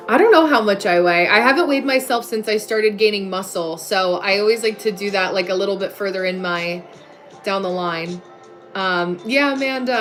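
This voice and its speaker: A woman's voice: medium pitch, fairly bright tone. A woman hosting a livestream in a casual, chatty way, replying to comments and breaking off to greet viewers. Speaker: livestream host. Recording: music playing behind the voice. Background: music.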